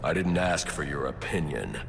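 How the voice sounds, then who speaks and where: A man playing a grim, brooding masked vigilante detective in a video game, terse and menacing, with a gravelly growl. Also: very deep voice, animated, normal speed, loud music behind the voice, full character voice. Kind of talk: video game cutscene acting.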